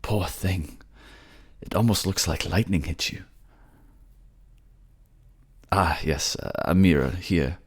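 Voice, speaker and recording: A man's voice: low-pitched, slow, long pauses, slightly rough, exaggerated voice. A man playing a kind, remorseful young prince in a fantasy audio drama, speaking hesitantly. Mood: gentle. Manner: hesitant, stammering.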